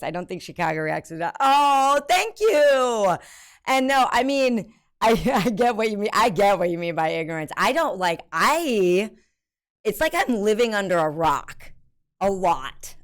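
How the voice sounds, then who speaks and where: An American woman streamer in casual live chat, rambling with many ums and self-mocking laughter. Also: fairly low pitch. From United States.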